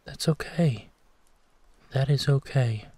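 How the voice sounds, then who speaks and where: A man playing a gentle, protective werewolf, speaking tenderly and soothingly in an intimate sleep-aid roleplay, with a soft, breathy voice. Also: medium pitch, no room sound. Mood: tender reassurance. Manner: hushed, tender, unhurried reassurance with pauses. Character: gentle, protective male werewolf comforting a frightened companion.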